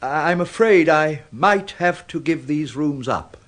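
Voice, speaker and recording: An actor playing a fussy, polite but prickly older clerk with an English accent in a British radio drama, stiffly formal and hesitant. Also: male voice, on the high side, lively intonation, a little slow. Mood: hesitant, apologetic.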